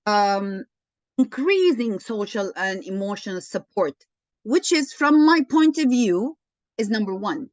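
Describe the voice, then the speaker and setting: A woman leading an online teaching session, slow and encouraging, often thanking participants. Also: mid-range voice, no echo. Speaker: webinar lecturer. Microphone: video call. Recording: dull online call audio.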